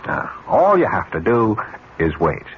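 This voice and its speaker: A man's voice: lowish voice, wildly swooping pitch, a little slow, full character voice. A man playing a smug, scornful, impatient businessman with a sardonic, emphatic manner in a vintage radio drama. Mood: impatient, assured. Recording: dull, noisy vintage radio recording.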